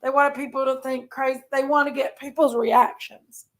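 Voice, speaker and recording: A woman with a Southern American accent, raspy and congested from allergies, chatting casually on a livestream and reading out viewers' names. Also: sits high, little pitch movement, moderate pace. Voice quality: raspy, gravelly. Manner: drawling, reads out chat names. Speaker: livestream host.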